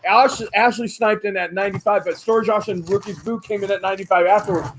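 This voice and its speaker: A man hosting a live online auction stream, calling out bids excitedly and chatting with viewers. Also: sits high, noisy background. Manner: excited, rapid bid calling. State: often loses voice after streams. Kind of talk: fast auctioneer-style live stream chat.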